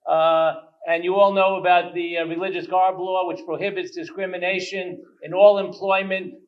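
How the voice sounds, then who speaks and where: Older man, halting speech at an event, far from the mic. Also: sits high, level tone. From New York. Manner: halting, with many restarts and fillers. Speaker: state legislator. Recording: distant, muffled microphone.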